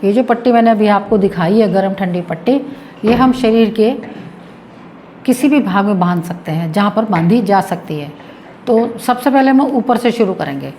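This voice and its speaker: A woman's voice: mid-range voice, dark tone. Female presenter, demonstration lesson, patient step-by-step explaining, faint background noise. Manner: explains with repetition while demonstrating. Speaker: health tips presenter.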